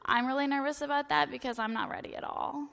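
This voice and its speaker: A hoarse woman in her thirties preaches in a chatty, self-deprecating way, full of filler words. Her voice is bright and sits a little high. It rings with the long reverb of a church sanctuary. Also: steady tone, fast talker. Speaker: priest. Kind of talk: casual, joking sermon.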